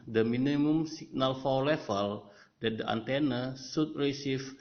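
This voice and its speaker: A man with an Indonesian accent reading a scripted academic presentation in halting phrases. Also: medium pitch. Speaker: researcher presenting a paper. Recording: dull lo-fi voice-over.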